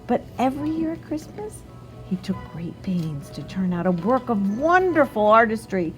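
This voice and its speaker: A woman reading a storybook aloud like a teacher, expressive and instructive, pausing to put questions to young listeners. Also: on the high side, soft background music.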